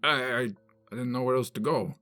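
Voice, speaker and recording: A man's voice: medium pitch, a little fast, pushed and bright, close to an everyday voice. A man voicing a frightened petty criminal informant in a comic dub, stammering and pleading. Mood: scared. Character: cowardly, frightened male small-time crook informant. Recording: music behind the voice.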